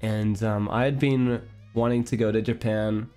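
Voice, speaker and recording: A man streamer chatting casually with his viewers, rambling with restarts and little breathy laughs. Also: on the low side, fairly even intonation, normal speed, a little mellow, faint music behind.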